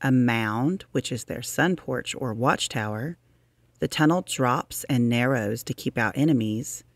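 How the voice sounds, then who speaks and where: Slow, deliberate children's book narrator. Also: medium pitch, no room sound. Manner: deliberate, expressive, with drawn-out emphasis. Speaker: narrator reading a children's science book aloud. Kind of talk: read-aloud storybook narration for children.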